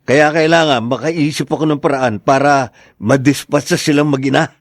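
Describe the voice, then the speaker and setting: Radio soap role: bossy freeloading son-in-law, grumpy schemer, slightly high male voice, dry close sound. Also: fairly even intonation, moderate pace, no room sound. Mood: irritated scheming. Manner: surly, demanding. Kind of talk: radio drama acting.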